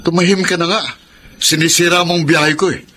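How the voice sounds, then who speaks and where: An actor playing a deceitful cheating husband in a radio drama, with a cocky, dismissive way of talking. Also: male voice, on the high side, fairly even intonation, brisk, bright, forceful tone. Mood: irritated, dismissive. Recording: clear background noise.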